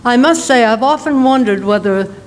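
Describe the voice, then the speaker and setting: Older woman playing a high-voiced, tender old sweetheart. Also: moderate pace, close to an everyday voice. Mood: shy, nostalgic. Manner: genteel, tender. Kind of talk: amateur musical stage duet dialogue. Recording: reverberant hall with piano accompaniment.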